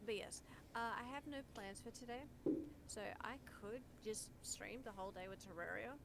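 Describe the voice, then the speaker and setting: A woman's voice: mid-range voice, moderate pace, gravelly, noisy background. A woman hosting a live gaming stream, chatting informally and playfully with friends, with some swearing. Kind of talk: casual gaming stream chat.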